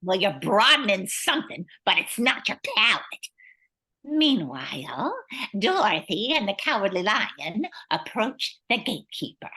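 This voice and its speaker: A woman playing a bossy munchkin narrator in an amateur radio play, theatrical, with a husky edge. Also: mid-range voice, very animated, measured pace, pushed and bright, full character voice, low-quality recording. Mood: storytelling. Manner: theatrical, scolding.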